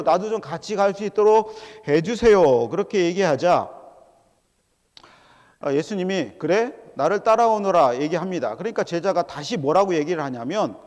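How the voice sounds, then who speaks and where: A middle-aged man preaches in a church and slips into quoted voices as he goes. His voice sits a little high for a man. It carries with a long hall reverb behind it. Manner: animated preaching, acting out quoted voices, calling for amens. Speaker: pastor. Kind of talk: sermon. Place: large church sanctuary.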